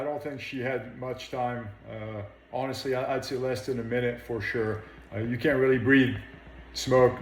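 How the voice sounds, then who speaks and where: Man, mid-pitched voice, echoey room, firefighter being interviewed, matter-of-fact, unassuming. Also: darkish tone, slight background noise. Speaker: firefighter officer. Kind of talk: interview account of an incident.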